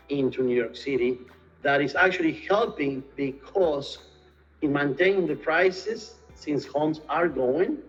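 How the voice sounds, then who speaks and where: A man's voice: on the high side, a bit bright, dull audio. A man from Argentina with an Argentine Spanish accent, answering interview questions hesitantly with many fillers and restarts. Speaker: real estate sales and marketing director.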